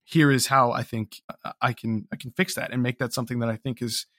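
A man speaking as an interview guest about his writing, earnest and self-deprecating, in a reflective, casual and halting way. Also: medium pitch, steady tone, a bit bright, no room sound, studio quality. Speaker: interview guest, audio drama writer.

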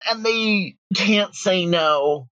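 A woman's voice: medium pitch, very slow, fairly bright tone, dry recording, lo-fi sound. A middle-aged woman giving casual, candid interview answers as an audio drama writer and producer. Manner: blunt, candid.

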